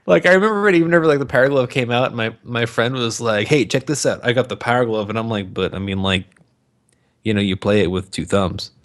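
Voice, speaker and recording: A man co-hosting a podcast in casual, joking banter, animated and quick to swear. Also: medium pitch. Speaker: podcast co-host.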